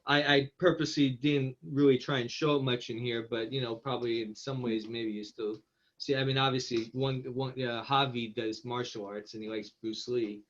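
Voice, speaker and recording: A man's voice: mid-range voice, steady tone, moderate pace, muffled recording. A man with an encouraging, rambling manner, giving casual feedback as the writer at a table read.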